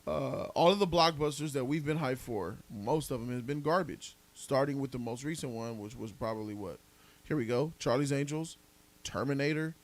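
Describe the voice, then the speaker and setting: A man's voice: mid-range voice, moderate pace. A man hosting a casual live podcast, debating movies in an emphatic, argumentative, slangy way with some swearing. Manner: emphatic, argumentative, repeats points, slangy and profane. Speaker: podcast host reading donor messages.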